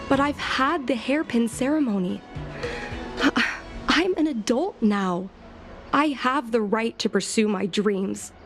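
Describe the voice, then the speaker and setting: Earnest, headstrong young woman over music. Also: fairly high pitch. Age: young adult. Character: earnest, headstrong young daughter longing to fly. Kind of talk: video game voice acting. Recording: background music under the voice.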